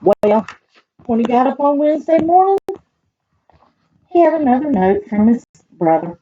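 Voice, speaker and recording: A woman's voice: high-pitched, very animated, normal speed, phone-quality sound, slight background noise. A woman with a Southern US accent reading a children's picture book aloud, chatting in folksy asides and putting questions to her young listeners. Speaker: library storyteller.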